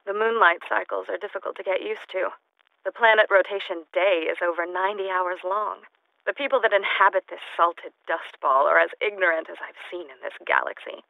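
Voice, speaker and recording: A woman's voice: fairly low pitch, steady tone, brisk, vocal fry, no room sound, phone-quality sound. An actress playing an eccentric, lonely woman scientist in a video game, recording audio logs in precise, formal diction with erratic emotional swings. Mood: disdainful.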